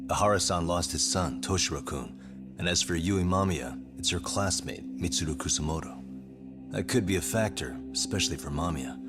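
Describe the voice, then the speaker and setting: Game-dub casting: man playing a dogged, cool private detective, very low voice, deliberate questioning, music underneath. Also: lightly acted. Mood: probing, calm. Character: persistent adult male private detective, cool and probing. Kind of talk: English-dubbed video game drama.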